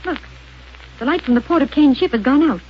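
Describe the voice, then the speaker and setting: Worried young space heroine, high animated voice, pleading, hissy muffled old radio. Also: female voice, fairly quick. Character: anxious loyal young woman space crew member. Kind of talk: 1930s radio drama acting. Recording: dull lo-fi old radio recording with loud hiss.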